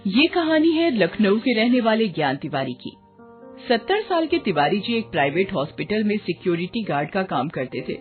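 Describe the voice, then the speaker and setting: A woman's voice: mid-range voice, big pitch swings, normal speed, slightly rough. A woman storyteller reading scripted horror narration on a tinny recording. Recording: tinny band-limited sound with music.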